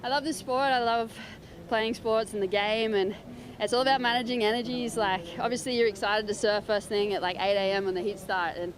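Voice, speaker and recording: An upbeat woman surfer describing her day after a heat. She chats freely at an ordinary mid pitch. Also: steady tone, a little fast, crowd noise. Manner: upbeat, chatty. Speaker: pro surfer being interviewed. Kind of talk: post-heat interview.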